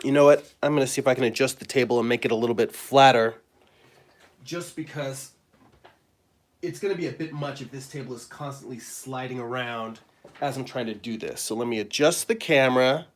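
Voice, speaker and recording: A middle-aged man, hoarse and raspy from a cold, clearing his throat as he chats casually with fillers while drawing at his table. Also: mid-range voice. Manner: rambling, friendly, halting with fillers. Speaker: comic artist and live-stream host. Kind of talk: casual live-stream chat. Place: home studio at a drawing table.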